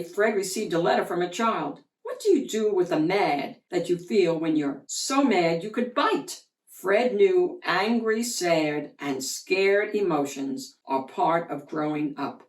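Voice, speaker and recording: A woman reading a children's picture book aloud in an expressive, deliberate storytelling manner, with emphasized words. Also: mid-range voice. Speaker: children's book reader, host of a read-aloud channel. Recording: roomy, echoey, distant from the mic.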